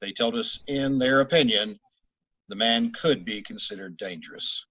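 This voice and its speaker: An actor playing a terse, deadpan police sergeant who speaks in a clipped, matter-of-fact manner, in an old-time radio drama recreation. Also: male voice, medium pitch, normal speed, low-quality recording.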